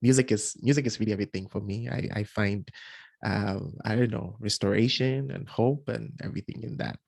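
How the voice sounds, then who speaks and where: A man's voice: lowish voice, fairly even intonation, brisk, creaky, darkish tone, no room sound. A young adult man from Ghana, speaking as an interview guest in an earnest, casual way, often repeating words and laughing lightly. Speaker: guest, environmental engineer.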